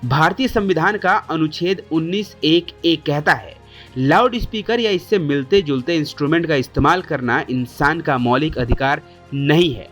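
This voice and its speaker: A man with a slightly high voice narrates a scripted news explainer over a music bed. His delivery is formal, and he poses questions to viewers as a presenter does. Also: moderate pace, fairly bright tone.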